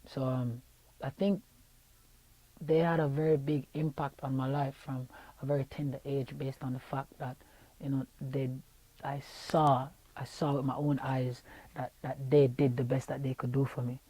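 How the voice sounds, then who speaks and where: Young Jamaican man, relaxed interview talk, mellow tone, dry lapel mic. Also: mid-range voice, moderate pace, dry recording, poor audio. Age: young adult. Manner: easygoing, repeats words, many fillers. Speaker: singer being interviewed. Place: radio station studio room.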